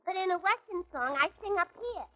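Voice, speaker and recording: A woman's voice: very high-pitched, animated, fairly quick, highs cut off. An actress playing a brash, ditzy, nasal New woman in a 1940s radio comedy. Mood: self-assured. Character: brash, ditzy woman proud of her dreadful singing. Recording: old 1940s radio broadcast.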